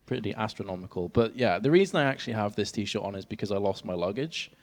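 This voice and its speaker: A young man with an English accent, his voice sitting a little low, casts an esports match from an event stage. He fills the dead time with self-mocking jokes and laughs easily. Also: animated, fast talker, darkish tone. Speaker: esports caster. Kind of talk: casual caster banter.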